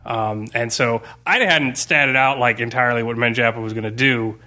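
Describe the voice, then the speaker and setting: A man's voice: medium pitch, steady tone, normal speed, pushed and bright. A man casually talking through a tabletop game campaign he ran, as its game master. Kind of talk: casual discussion, looking back on a game campaign.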